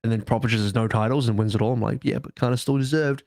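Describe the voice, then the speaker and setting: A man's voice: mid-range voice, steady tone, fast talker, darkish tone, dry recording, clean studio sound. A man chatting casually as a panelist on an esports podcast.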